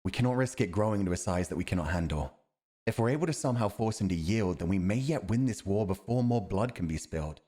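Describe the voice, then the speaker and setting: A stern military commander of a fantasy crown , acted by a man. His low voice is grave and formal yet very expressive in its rise and fall, that of a loyal, principled soldier in an audio drama. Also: fast. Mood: respectful, grave. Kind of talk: fantasy audio roleplay acting.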